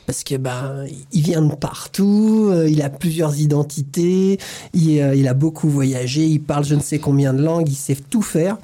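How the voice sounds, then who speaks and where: Male radio host, very mellow tone, chatty, easy laugh. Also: on the high side, normal speed. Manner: chatty, laughs easily, stretches words. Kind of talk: casual radio interview.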